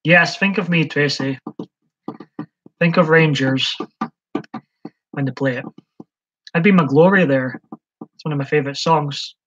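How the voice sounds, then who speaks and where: A man's voice: on the high side, a little slow, pauses often, fairly bright tone, husky. A man hosting a casual live stream, chatting hesitantly with lots of ums as he answers viewers' comments. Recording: dull lo-fi stream audio.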